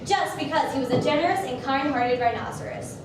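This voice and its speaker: A young woman with a high voice tells a story as narrator in a theatrical style. She is far from the mic in a very echoey hall. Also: a little fast, background noise.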